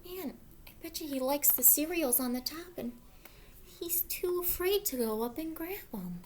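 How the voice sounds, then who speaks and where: A woman reading a picture book aloud to children, expressively, pausing to ask the young listeners questions. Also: high voice, animated, a little mellow.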